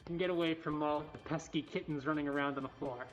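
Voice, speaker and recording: Woman livestream host, low voice, informal and affectionate with animals, dull audio with faint music behind. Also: fairly even intonation, fast talker. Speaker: cat cafe livestream host. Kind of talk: casual livestream chat. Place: indoors in a cat cafe.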